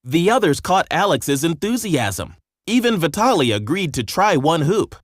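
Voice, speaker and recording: A man narrating a scripted children's storybook in an expressive, measured storytelling manner. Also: on the high side, dry recording, studio quality.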